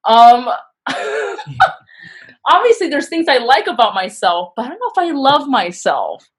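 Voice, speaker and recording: A woman in conversation as a podcast guest, animated and self-deprecating, with quick asides. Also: high-pitched. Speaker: podcast guest, actor and comedian. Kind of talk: casual interview chat.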